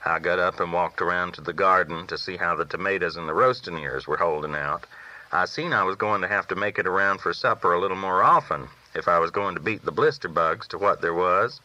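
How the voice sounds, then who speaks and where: A man's voice: low-pitched, a little fast, pushed and bright, highs cut off. A man reading a first-person novel aloud as a folksy storyteller. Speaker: audiobook reader.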